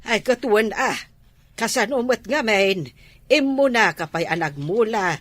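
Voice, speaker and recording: A woman's voice: medium pitch, lively intonation, measured pace, a bit bright, dry recording. A woman with a Filipino Ilocano accent playing a doubting village mother of a grown son in an amateur comedy drama. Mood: skeptical, hopeful.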